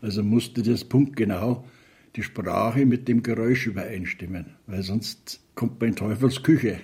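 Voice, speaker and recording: Raspy older man recalling work. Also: on the low side, a little slow, darkish tone. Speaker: retired sound engineer. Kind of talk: interview recollection.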